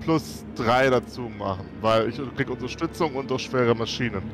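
A man's voice: medium pitch, normal speed. A man playing a tabletop roleplay game on a video call, talking casually and hesitantly, often repeating words and muttering oaths. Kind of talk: casual in-character roleplay chat. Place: home room on video call. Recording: music under the voice.

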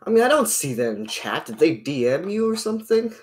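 A man's voice: on the high side, sing-song, a little fast, breathy edge. A man from Canada, chatting casually and often trailing off mid-sentence. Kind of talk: casual chat.

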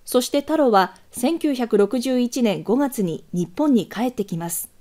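Dark-toned, high-pitched woman news narrator. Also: lively intonation, a little slow. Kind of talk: scripted documentary news narration.

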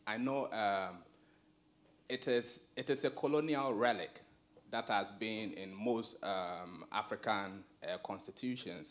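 A man's voice: on the low side, a bit bright. A man in his forties from Ghana, asking a question from the audience in a lecture theatre, polite and hesitant with many filler sounds.

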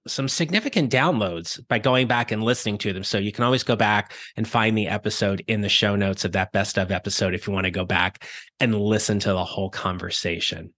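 A middle-aged man hosting a career-advice podcast, his voice raspy from illness, speaking in an upbeat, encouraging, conversational way. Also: fairly low pitch, a bit bright, no room sound, clean studio sound. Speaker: podcast host.

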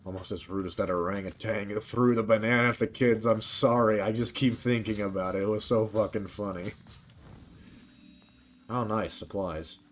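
Low-voiced man casually streaming a game. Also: steady tone, normal speed. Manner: profane, muttering asides to himself. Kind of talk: casual gameplay commentary. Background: video game sound.

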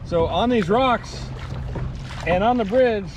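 A man's voice: high-pitched, slow. Chatty man narrating from the water. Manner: easygoing, chatty, laughs often. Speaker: kayaking channel host. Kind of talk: casual outdoor vlog narration. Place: outdoors in shallow sea water by rocks and a beach. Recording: outdoor action camera with loud water noise.

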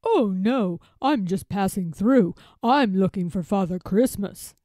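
A woman's voice: medium pitch, expressive, a little slow, warm tone, no echo, clean studio sound, close to an everyday voice. A woman voicing a courteous, earnest storybook elephant, speaking with emphatic, wide-eyed hopefulness. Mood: polite. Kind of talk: children's storybook character voice.

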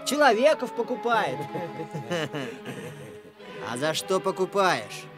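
A man's voice: sits high, sing-song, slow, exaggerated voice. A sneering young gang leader in an old radio drama. He drawls mocking taunts very unhurriedly, with music playing underneath. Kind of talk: Soviet radio play acting.

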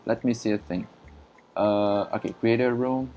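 A man from Brazil whose first language is Portuguese, chatting casually in halting English with many fillers and frequent laughs while streaming a game. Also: lowish voice, fairly even intonation, muffled recording, slight background noise. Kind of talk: casual live-stream commentary.

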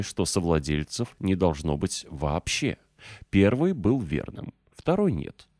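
A man's voice: low voice, a little mellow, no echo, clean studio sound. A man reading a non-fiction audiobook as a scripted narration.

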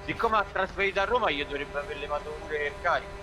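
A man's voice: fairly high pitch, fast, music in the background. A man in casual gaming chat, directing others and repeating his instructions. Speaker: online truck-convoy gamer organizing the group.

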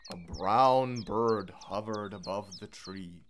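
A woman reading a children's storybook aloud expressively, in a measured singsong. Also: rumbling low, slight background noise. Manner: measured, singsong rhyming delivery. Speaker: children's book reader.

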